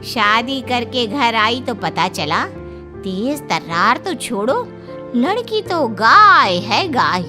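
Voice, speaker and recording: A woman voices a friendly middle-aged gossip in a cartoon family tale. She talks slowly in a high-leaning, swooping voice with a rueful air. Music is clearly audible behind her. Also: sing-song. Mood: rueful, gossipy. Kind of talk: Hindi cartoon story voice acting.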